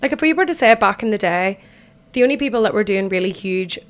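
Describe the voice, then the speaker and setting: A woman in her twenties with an Irish accent, hosting a casual solo podcast from an empty bathtub at home, her voice croaky after a night out and her talk chatty, rambling and self-deprecating. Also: mid-range voice, lively intonation, quick pace. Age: 20s. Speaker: podcast host.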